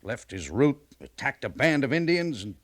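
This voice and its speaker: An American man acting a gruff, exasperated cavalry major in a 1950s radio western, blustery and folksy in his delivery. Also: medium pitch, big pitch swings, moderate pace, a bit bright. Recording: 1950s radio drama recording.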